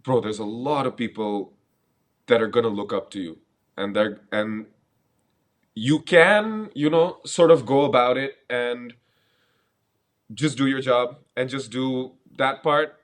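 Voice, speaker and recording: A man's voice: mid-range voice, measured pace, long pauses. A man who grew up in Bangladesh, hosting a casual interview with an eager, chatty manner and frequent laughs. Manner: chatty, enthusiastic, calls guest "bro", laughs often. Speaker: interviewer, host.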